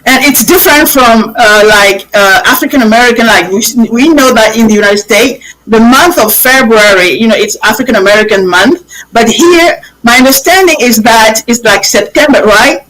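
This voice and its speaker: A woman's voice: on the high side, moderate pace, a bit bright, rough recording. A woman hosting a live television interview. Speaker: TV show host.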